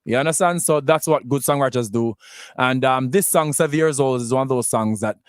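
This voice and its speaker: Halting male radio host, dry close mic. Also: medium pitch, clean tone, no echo. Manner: halting, many restarts and fillers. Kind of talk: casual radio talk between songs.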